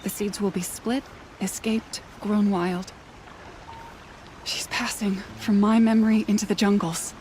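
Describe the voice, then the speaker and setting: A woman's voice: medium pitch, steady tone, unhurried, a little mellow, slight background noise, close to an everyday voice. A woman playing a mourning tribeswoman in a video game, speaking solemnly and poetically. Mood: solemn grief. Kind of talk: video game dramatic dialogue.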